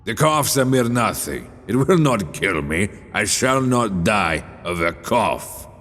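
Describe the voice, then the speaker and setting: Man acting a tipsy nobleman, pitched up, reverberant. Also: very animated, slow, fairly bright tone, full character voice. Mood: dismissive. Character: drunken, proud, coughing nobleman, merry and dismissive. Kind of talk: audiobook character voice. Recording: long cavernous reverb.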